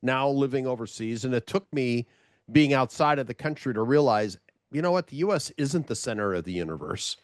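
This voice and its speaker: A man's voice: medium pitch, lively intonation, brisk, no room sound. Host type: middle-aged guy from LA, jokey and talkative, dry close mic. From Los Angeles, USA. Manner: chatty, jokey, laughs easily, asides. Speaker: podcast host. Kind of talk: casual interview chat.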